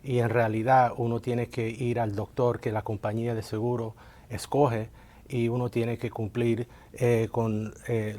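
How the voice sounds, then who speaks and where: A man's voice: mid-range voice, a little mellow. Colombian-born guy raised in miami, lawyer, lots of ums. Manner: hesitant, many filler sounds. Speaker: workers' compensation lawyer, guest. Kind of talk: interview answers.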